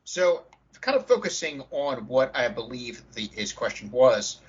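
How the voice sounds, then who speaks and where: A man with a husky, bright-edged voice casually discussing tabletop games as a podcast co-host and game master. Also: medium pitch, sing-song, unhurried. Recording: dull lo-fi audio.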